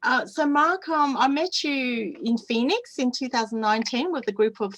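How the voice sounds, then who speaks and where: A woman hosting an interview, asking questions in a soft, encouraging manner. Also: high-pitched, lively intonation, moderate pace, rough recording. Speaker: interview host.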